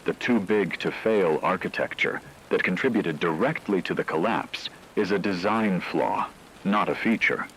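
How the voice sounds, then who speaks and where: A man playing a grave radio news broadcaster, reading a scripted bulletin in a solemn, measured and formal way. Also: sits low, fairly bright tone, a little hiss or hum, poor audio. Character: grave male radio broadcaster reporting societal collapse.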